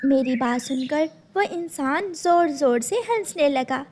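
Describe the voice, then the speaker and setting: Gentle mama bird for a kids cartoon, high voice, storytelling, slight background noise. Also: female voice, measured pace. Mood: earnest, reminiscing. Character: mother bird, gentle storyteller recalling her hardships.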